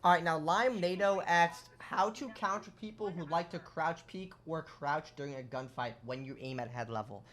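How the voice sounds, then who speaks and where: A young man with a voice going hoarse, casually explaining shooter game tactics over gameplay and often checking in with "right?". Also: a little high, normal speed, hardly any pauses, a bit bright, soft background music. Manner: explanatory, rhetorical questions, lots of "right?". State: losing his voice. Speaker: gaming content creator. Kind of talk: casual gameplay tips commentary.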